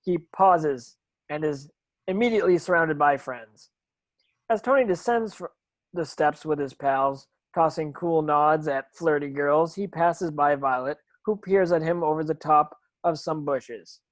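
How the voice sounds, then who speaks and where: A woman's voice: low-pitched, a little slow, no room sound. A woman reading script stage directions as narration, with occasional stumbles over the words.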